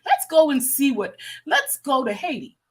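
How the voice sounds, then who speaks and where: A woman's voice: high voice, sing-song, moderate pace. An American woman hosting a livestream with casual, impassioned commentary, stressing points by repeating her phrases. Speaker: livestream host.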